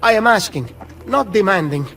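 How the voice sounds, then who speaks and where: Pleading, anxious courtier for a game, high voice leaping about, faint music behind. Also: male voice, animated, normal speed, bright, forceful tone, full character voice. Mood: pleading, afraid. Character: frightened, pleading Italian nobleman, servile. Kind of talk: dramatic video game cutscene acting.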